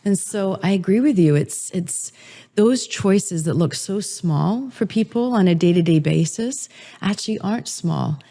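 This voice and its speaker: A woman's voice: medium pitch, expressive, measured pace, dark tone. A middle-aged woman interview guest, an author and speaker, answering in an articulate, earnest way that builds ideas step by step.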